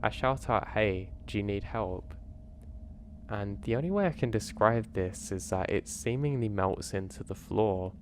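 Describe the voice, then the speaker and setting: A man with a slightly strained throat, calmly narrating scripted first-person horror stories and giving gentle advice as the channel's host. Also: on the low side, a little slow, a little hiss or hum.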